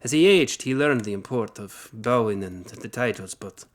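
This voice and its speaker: An actor playing a weathered knight who recounts his childhood in formal, measured phrases, in audio drama. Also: medium pitch, lively intonation, slight background noise, lightly acted. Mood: reflective.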